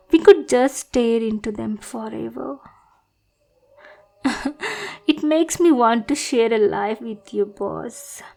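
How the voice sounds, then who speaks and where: A woman acts out a lovestruck young female bodyguard in an audio roleplay. Her voice sits fairly high and she speaks slowly, with a sweet, adorkable manner that turns possessive and bossy. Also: a little mellow. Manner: sweet, adorkable, slow, gushing then backpedalling. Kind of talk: acted audio roleplay addressed to listener.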